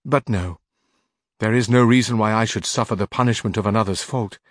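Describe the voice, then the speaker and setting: A man's voice: on the low side, a little fast, no room sound, studio quality, natural voice. A man reading the part of a proud, vengeful noblewoman in a storybook, impassioned and declamatory. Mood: indignant.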